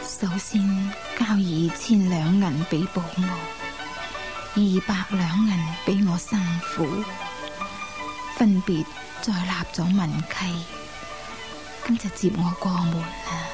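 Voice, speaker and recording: Woman looking back on married life, slow, measured, reflective, radio play, soft music behind. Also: on the low side, steady tone. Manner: unhurried, measured recollection. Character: reflective woman recounting her married past. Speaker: radio drama actress, narrating character. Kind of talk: Cantonese radio drama storytelling.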